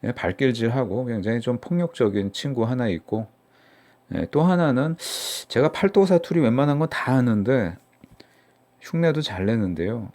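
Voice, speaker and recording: Man from Seoul, middle-aged, mocking political commentator, rambling, filler-heavy, mid-pitched. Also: darkish tone. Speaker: political YouTuber.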